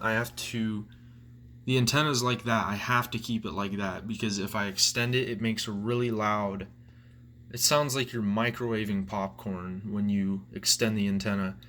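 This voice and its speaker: A man's voice: fairly low pitch, fairly bright tone, slight background noise. A young man giving a casual show-and-tell as a collector, rambling along in a blunt, self-deprecating way. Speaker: collector presenting weather radios.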